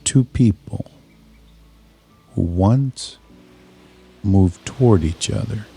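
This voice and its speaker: Man, low dark mellow voice, guided hypnosis narration, measured, soothing, short phrases with pauses. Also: creaky, breathy edge, soft background music. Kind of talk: slow scripted guided meditation.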